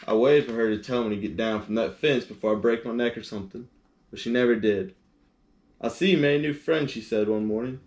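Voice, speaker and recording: A man's voice: medium pitch, darkish tone. A man reading children's picture books aloud as a storyteller, in simple language with short explanatory asides.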